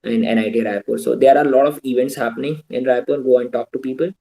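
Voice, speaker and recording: A young Indian man talks students through his advice over a video call. His voice is mellow and dark, his pitch in the middle. He sounds earnest and practical, now and then slipping into Hindi. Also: steady tone, fast talker, gravelly, low-quality recording. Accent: Indian English. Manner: earnest, advising, switches into Hindi asides. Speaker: guest speaker. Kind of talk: online talk giving advice to students.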